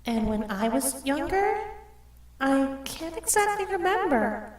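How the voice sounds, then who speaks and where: Woman playing a high, gentle anime young woman. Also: sing-song, slow, heavy reverb, far from the mic, full character voice. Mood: fond, gentle.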